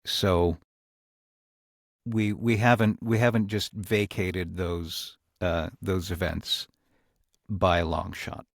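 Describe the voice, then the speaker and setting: A man reflecting on his creative work in a podcast discussion, speaking thoughtfully and often pausing to search for words. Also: sits low, flat delivery, creaky, no echo, studio quality. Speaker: audio drama writer and creator.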